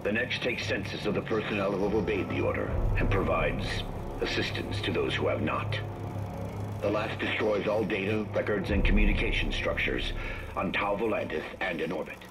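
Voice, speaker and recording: An actor playing a solemn military commander giving grim final orders in a formal, measured address. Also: male voice, low voice, animated, over music. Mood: solemn, resolute. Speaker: military announcer. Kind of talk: formal scripted recorded address.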